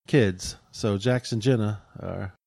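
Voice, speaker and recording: A man with a low voice, co-hosting a podcast and talking casually. He speaks in an earnest, supportive way and chooses his words slowly. The recording is dry and close. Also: gravelly, darkish tone, breathy edge, no room sound. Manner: earnest and supportive, slow deliberate phrasing. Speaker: podcast co-host.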